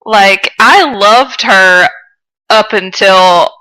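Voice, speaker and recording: A woman's voice: mid-range voice, extremely slow, sharp tone, poor audio. A young woman co-hosting a podcast, chatting casually with playful, informal banter. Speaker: podcast co-host. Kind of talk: casual comedic chat.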